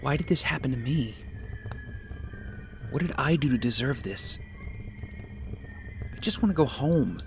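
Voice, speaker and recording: An actor playing a starving, guilt-wracked young castaway in an audio drama, emotional and self-pitying. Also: male voice, medium pitch, expressive, a little slow, pauses often, soft background music. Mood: frustrated, despairing.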